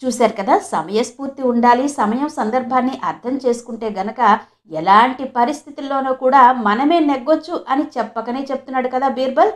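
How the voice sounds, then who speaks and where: A woman's voice: high voice, moderate pace. A woman telling a moral story expressively, chatting directly to her listeners. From Andhra Pradesh, India. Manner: conversational, addresses listeners directly. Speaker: storyteller, channel host.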